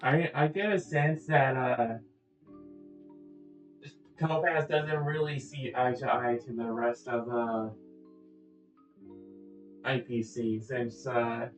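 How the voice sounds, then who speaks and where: A woman giving casual, blunt reaction commentary as a game streamer, often hesitating and drawing out words. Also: a bass voice. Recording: echoey, distant, dull audio over faint music.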